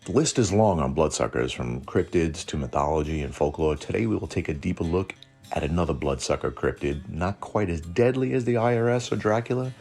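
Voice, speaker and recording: A man's voice: sits low, brisk, a little mellow, breathy edge, faint music behind. A man narrating a scripted lore show as host, with dry joking asides.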